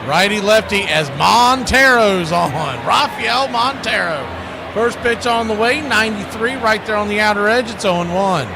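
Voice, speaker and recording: A man's voice: sits high, lively intonation, normal speed, background noise. A man doing live radio-style baseball play-by-play in clipped, punchy phrases with folksy slang.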